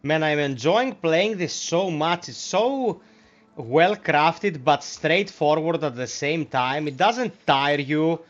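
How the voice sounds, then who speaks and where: A man's voice: on the high side, takes it slowly. Greek-accented man commenting on gameplay. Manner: friendly, relaxed. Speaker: streamer. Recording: slightly muffled stream mic.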